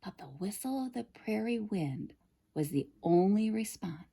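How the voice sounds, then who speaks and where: A woman reads a children's story aloud with expressive narration. Her pace is unhurried and deliberate, and her mid-pitched voice rises and falls dramatically. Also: creaky, breathy edge. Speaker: storybook reader.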